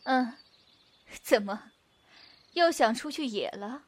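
A woman's voice: fairly high pitch, lively intonation, slow. A woman playing a stern elder female disciple in a Chinese wuxia radio drama, scolding in a firm, reproving way.

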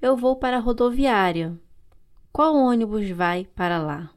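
Slow, careful female lesson reader. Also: a little high, expressive. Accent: Brazilian Portuguese. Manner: slow, clear, carefully articulated. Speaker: language course dialogue reader. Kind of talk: slow scripted language-lesson reading.

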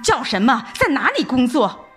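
Woman acting a furious betrayed woman, raised pitch. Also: sing-song, moderate pace, soft background music. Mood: furious, accusing. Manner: indignant, forceful, demanding. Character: furious betrayed young woman confronting cheater and manager. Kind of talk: audio drama acting.

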